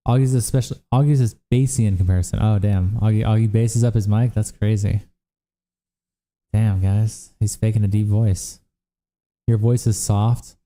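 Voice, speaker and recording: Casting: young male streamer, tired, profane and chatty, low pitch, very dark mellow tone. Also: steady tone. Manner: casual, profane, reacts to chat with quick asides. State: worn out from days of streaming. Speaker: livestream host. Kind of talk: casual livestream chat reading viewer comments.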